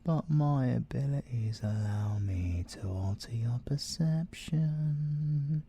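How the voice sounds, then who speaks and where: A British man voicing a possessive, gloating male siren in an ASMR audio roleplay, theatrical and teasing, with a breathy voice that slips into whispers. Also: medium pitch, lively intonation, dark tone, heavily acted. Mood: gloating, hypnotic. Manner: theatrical, teasing, slow and deliberate, slipping into whispers.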